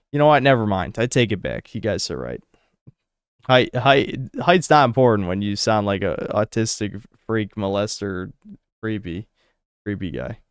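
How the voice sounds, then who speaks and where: A man's voice: mid-range voice, clear, resonant tone, no echo, studio quality. A young American man streaming, reading donation messages and answering chat in a blunt, crude, sarcastic way. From United States.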